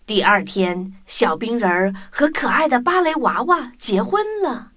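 Animated, high-voiced woman telling children's stories. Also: unhurried. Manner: addresses children directly, animated. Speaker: children's story host and narrator. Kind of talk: expressive scripted storytelling for children.

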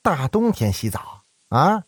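A man's voice: on the high side, sing-song, slow pace, breathy edge, no echo, voice pushed up, exaggerated voice. A man voicing a bossy, mocking senior servant in an audio story, brash and teasing. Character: bossy, mocking male senior servant, servile to his master.

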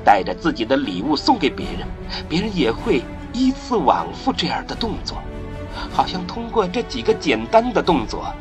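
A man giving expressive, dramatic scripted narration for a radio drama, phrased with deliberate pauses. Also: fairly high pitch. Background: music.

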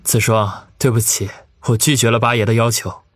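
A man's voice: mid-range voice, steady tone, normal speed, no echo, studio quality, close to an everyday voice. A male narrator voicing an earnest young man who talks in a placating way.

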